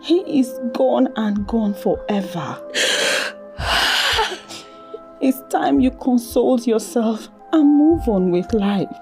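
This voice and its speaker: Slow, high-voiced Nigerian sisterly friend. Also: female voice, big pitch swings, a little mellow, soft background music, exaggerated voice. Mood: soothing, pleading. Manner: consoling, imploring. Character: caring sisterly friend, soothing, gently urging. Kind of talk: screen drama acting.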